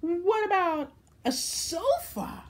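Woman doing a high, fussy little storybook frog. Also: big pitch swings, slow, darkish tone, exaggerated voice. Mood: hopeful, questioning.

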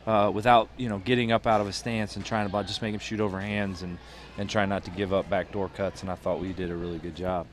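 A man's voice: low-pitched, level tone, fast, a little hiss or hum. A middle-aged man, a head basketball coach, giving a postgame interview in a thoughtful, rambling way full of restarts and fillers, on a headset mic. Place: small studio booth with a logo backdrop.

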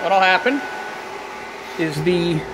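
A man's voice: high voice, slow. A man giving a casual hands-on demonstration of technical equipment as a hobbyist, explaining and thinking aloud as he goes. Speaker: amateur radio hobbyist giving an equipment tour. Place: small indoor equipment room with radio racks. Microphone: handheld camera or phone held by speaker. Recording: loud fan and ventilation equipment noise.

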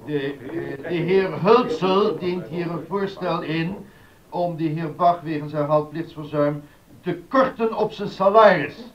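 Formal chairman, raised pitch, orderly, declamatory, docudrama, distant muffled recording. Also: a little slow. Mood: formal, grave. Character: formal, procedural male chairman of a council. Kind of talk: Dutch docudrama acting. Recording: dull sound, distant from microphone.

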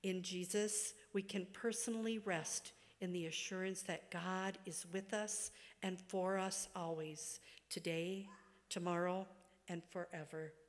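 A middle-aged or older woman preaching a sermon from a church pulpit, phrase by deliberate phrase, letting sentence ends trail away. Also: fairly low pitch, fairly even intonation. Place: church sanctuary, at a wooden pulpit. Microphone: gooseneck pulpit microphone. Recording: long reverberant church hall.

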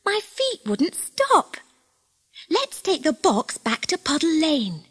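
Woman as an eager high-voiced little girl. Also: huge pitch swings, slow pace, exaggerated voice. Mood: excited.

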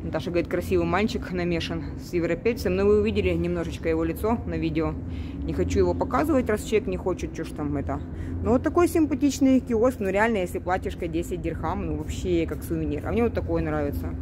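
Chatty woman vlogging over clear street noise. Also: lowish voice, fast. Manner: chatty, colloquial, uses many diminutives. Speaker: travel vlog host. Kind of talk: casual spoken vlog narration. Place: outdoors in an old-town market street. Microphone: handheld camera at arm's length. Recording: noisy outdoor street, handheld recording.

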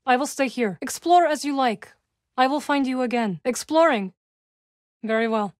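A woman performs a young witch warrior for a video game. Her voice is high, and she delivers short battle lines with proud, formal command and a dry edge of loyalty to her allies. The sound is close and dry, with no room around it. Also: normal speed, no echo, close to an everyday voice. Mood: calm, curt. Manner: formal, clipped, commanding phrasing.

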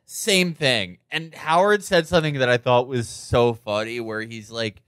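A man's voice: mid-range voice, a little slow, a bit bright, no echo, studio quality. An American man hosting a podcast, talking in casual comedic banter with a crude, profane, rambling manner. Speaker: podcast host.

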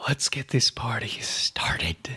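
A man's voice: mid-range voice, lively intonation, slow, pushed and bright, husky, whispered, heavily acted. A man playing a cheerfully murderous, disgruntled office worker in a darkly comedic audio drama, theatrical and sinister. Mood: eerily cheerful.

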